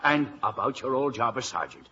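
An actor playing a courteous, hearty police inspector with a husky voice in a 1950s radio drama. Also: male voice, medium pitch, lively intonation, fairly quick, sharp tone, slight background noise. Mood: elated. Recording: dull old radio broadcast.

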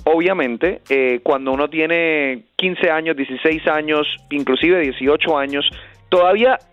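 A man from Puerto Rico, a doctor, calmly explaining a health topic as a guest on a radio show. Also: a little high, no room sound, dull audio, soft background music. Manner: measured, explanatory, some hesitations.

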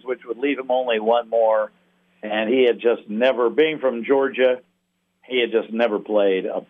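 A man on a thin phone line chatting casually about sports as a guest announcer, in a folksy, rambling, halting way that repeats words. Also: mid-range voice. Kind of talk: casual sports analysis chat. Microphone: phone call.

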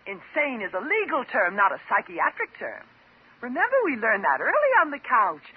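Bitter, mocking jilted woman, husky. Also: fairly high pitch, wildly swooping pitch, normal speed, exaggerated voice. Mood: mocking, unhinged. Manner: mocking, sarcastic, dramatic. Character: bitter, vengeful jilted woman patient holding doctor at gunpoint. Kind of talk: 1950s radio drama acting. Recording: dull old 1950s radio recording.

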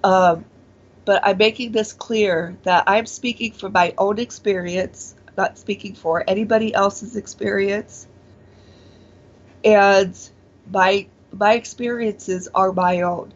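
Slow, hesitant woman in her forties. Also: mid-range voice, steady tone. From born in Taiwan, raised in Pennsylvania. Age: 40s. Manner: hesitant, many fillers and restarts. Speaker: guest. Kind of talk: casual interview, personal testimony. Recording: dull lo-fi audio.

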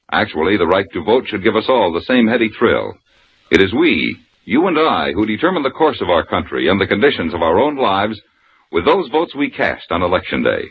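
A man's voice: lowish voice, breathy edge. A man reading a scripted public service announcement as a radio announcer. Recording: muffled old radio recording, hissy.

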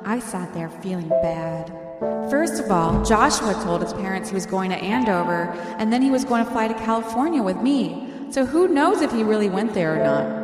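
A woman's voice: medium pitch, reverberant, in a large hall, close to an everyday voice. A woman reading aloud the first-person monologue of an anxious, lovesick teenage girl from a novel.

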